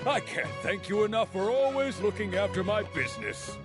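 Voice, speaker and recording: A man's voice: high-pitched, lively intonation, moderate pace, music in the background. A man voicing a grateful, genial market customer in a game. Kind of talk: game voice acting.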